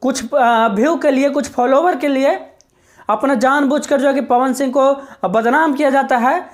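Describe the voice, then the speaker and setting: Female commentator, high voice, informal online news explainer, insistent and repetitive. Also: unhurried. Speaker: social media commentator.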